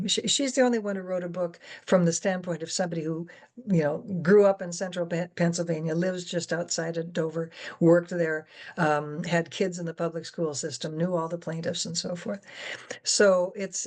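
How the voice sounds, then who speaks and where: A woman's voice: lowish voice, a little mellow. A woman moderating a public discussion, speaking conversationally in an explanatory, opinionated way. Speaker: guest moderator, science educator.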